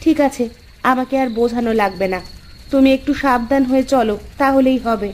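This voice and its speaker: A woman's voice: high-pitched, a little fast, a little mellow, slight background noise, close to an everyday voice. A woman voicing a practical village housewife in a cartoon, matter-of-fact and chiding with her husband. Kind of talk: Bengali cartoon dubbing.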